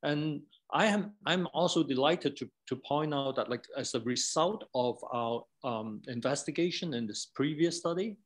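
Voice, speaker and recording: A man, an academic researcher, speaking informally in a halting, discursive way with frequent "like" and "right?". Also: a little high.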